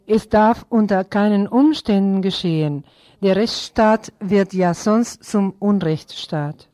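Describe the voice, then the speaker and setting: A woman delivers an emphatic public appeal. Her voice is mellow and of medium pitch, her pace on the slow side. The sound is dry. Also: no echo.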